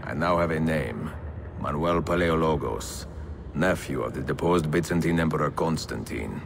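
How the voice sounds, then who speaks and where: An actor playing a composed, middle-aged Italian master assassin in a video game, speaking in a measured, formal way with an Italian accent. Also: male voice, extremely low pitch, creaky, background noise. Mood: businesslike. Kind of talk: video game cutscene acting.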